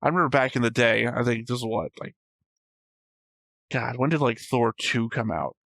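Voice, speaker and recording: A man's voice: mid-range voice, fairly even intonation, normal speed, a bit bright, no room sound, studio quality. A man talking casually as a reaction show host, rambling and explaining his thoughts at length. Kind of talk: casual chat, discussing an anime episode.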